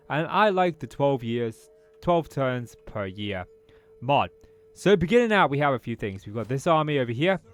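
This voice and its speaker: A British man commentating casually on a strategy game he is playing, thinking aloud, his voice a little off after a throat infection. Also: mid-range voice. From Britain. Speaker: gaming YouTuber. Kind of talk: casual let's-play commentary.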